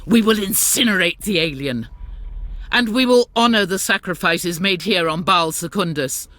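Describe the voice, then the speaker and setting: Woman playing a bright, forceful warrior nun commander. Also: fairly low pitch, normal speed, no echo, lightly acted. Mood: solemn, resolute. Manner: solemn, formal, resolute. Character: devout, zealous warrior nun commander of a futuristic order. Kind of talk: scripted game cutscene narration.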